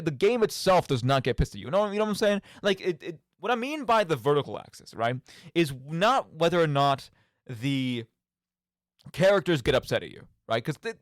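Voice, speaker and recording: Animated man hosting, pitched a bit high. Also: no echo, studio quality. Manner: animated, emphatic, often seeking agreement with "right?". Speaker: podcast and radio show host. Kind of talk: casual rambling video game commentary.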